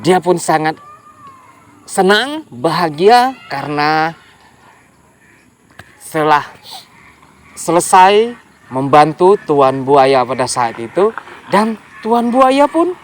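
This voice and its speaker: A man telling a folk tale to children in a lively way, speaking to young listeners directly. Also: on the high side, slow, long pauses. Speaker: storyteller.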